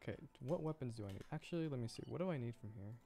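A man's voice: mid-range voice, expressive, very fast, gravelly, mellow, soft background music. A man chatting casually on a game livestream, muttering and thinking aloud to himself as he plays. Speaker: VTuber game streamer. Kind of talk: casual livestream gameplay chat.

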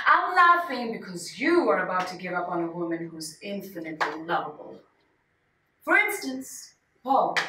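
A woman performs as a wounded, theatrical wife clinging to her marriage in a solo stage piece. She speaks slowly and emphatically with lively swoops, turning from wry jokes to pleading. The recording is roomy and a little far from the mic. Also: mid-range voice. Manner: dramatic, emphatic, swinging between joking and pleading. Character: desperate, quirky wife refusing a divorce, wounded and theatrical. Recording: roomy, distant mic, faint noise.

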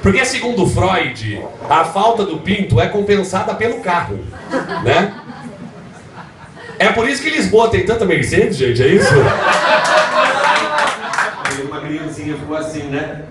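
A man's voice: high voice, sing-song, measured pace, long pauses, a crowd in the background. A male drag stand-up comedian from the São Paulo countryside with its accent, telling bawdy jokes and teasing the audience, laughing as he goes, on a handheld mic filmed from the audience. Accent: São Paulo interior Brazilian Portuguese. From Brazil. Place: small comedy clubs and halls with live audience. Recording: echoey venue, far camera, audience noise.

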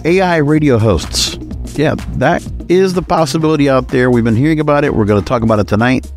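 A man's voice: medium pitch, brisk, a little mellow, faint music behind. A man hosting a solo podcast, giving news commentary in a conversational, loosely off-the-cuff way into a close microphone. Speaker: podcast host.

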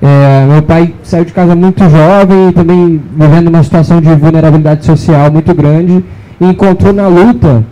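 A man's voice: on the high side, fairly even intonation, moderate pace, dark tone, muffled recording. A man speaking thoughtfully and with some hesitation at a panel on an indoor stage, holding a mic. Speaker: guest. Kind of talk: panel discussion.

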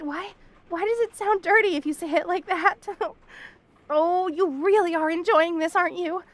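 A woman's voice: very high-pitched, fairly bright tone, exaggerated voice. A woman voicing a shy young bunny girl roommate in a romantic comedy audio roleplay, stammering and protesting in a flustered way. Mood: bashful, affectionate.

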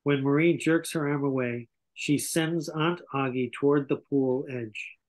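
A man in his sixties narrates a script's stage directions at a table read. His voice sits at an average pitch, recorded over a video call in a small room at home. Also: fairly even intonation, unhurried, low-quality recording. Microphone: computer video call.

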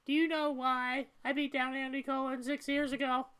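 Woman voicing a high-pitched, troubled young cat girl. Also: little pitch movement, normal speed, a bit bright. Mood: troubled, confessional. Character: troubled young female cat, weary and confessional. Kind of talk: amateur voiced playthrough of a story game.

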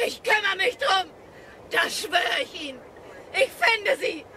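A woman's voice: extremely high pitch, expressive, measured pace, pushed and bright, some chatter behind, slight background noise, heavily acted. An actress in a German radio thriller playing a distraught, vengeful young mother and wife of a victim. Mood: furious, distraught.

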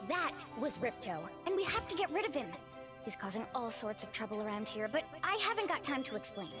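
An actress voicing a spirited young female fawn in a video game, brisk and take-charge. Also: high voice, big pitch swings. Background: game music.

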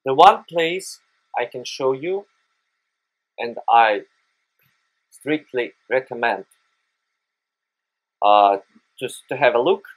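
Slow, halting Russian-accented man. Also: mid-range voice, low-quality recording. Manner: hesitant, frequent "uh" pauses, careful non-native phrasing. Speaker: photography tutorial host. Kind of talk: screen-recorded software tutorial.

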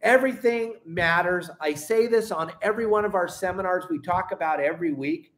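Middle-aged man, seasoned fishing guide, casual and emphatic lesson to camera, slightly high voice, recorded at home. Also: fairly quick, fairly bright tone. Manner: folksy and emphatic, addresses viewers as gang. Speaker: fishing guide and boat captain. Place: indoor room at home.

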